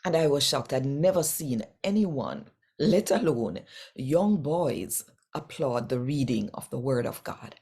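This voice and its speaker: A woman from Jamaica with a Jamaican accent, telling a faith testimony in an earnest, measured way. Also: rumbling low, animated, warm tone, husky. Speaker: Bible reader sharing testimony. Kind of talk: personal faith testimony to camera.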